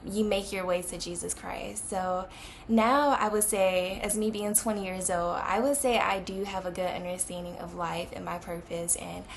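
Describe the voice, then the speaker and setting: A woman's voice: on the low side, steady tone, normal speed, gravelly, slight background noise. A woman of twenty talking casually to the camera as a vlogger, with many fillers. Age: 20s.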